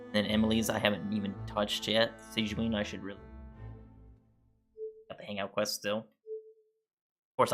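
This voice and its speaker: A man's voice: on the low side, a bit bright. A man giving informal gaming commentary, thinking aloud loosely as he plays. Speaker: gaming streamer. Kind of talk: casual playthrough commentary. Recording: game music under the voice. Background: game music.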